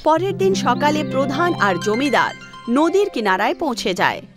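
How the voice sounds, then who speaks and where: Animated high-pitched woman storyteller. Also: moderate pace. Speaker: narrator. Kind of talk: scripted children's fairy-tale narration. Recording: voice over background music.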